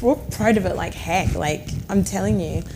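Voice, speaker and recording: A woman's voice: medium pitch, normal speed, background noise. A woman from Ghana working as a broadcast journalist, talking as a guest on a chat show in an emphatic, encouraging way. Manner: emphatic, encouraging, many small agreeing noises. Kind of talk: casual studio chat.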